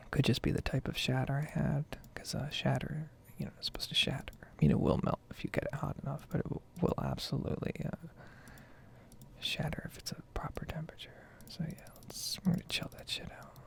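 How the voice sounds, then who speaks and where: A woman speaking in a soft, breathy whisper, rambling casually in an ASMR style as she comments on her own gameplay. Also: low voice, fairly even intonation, brisk. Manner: casual, meandering. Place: basement at home.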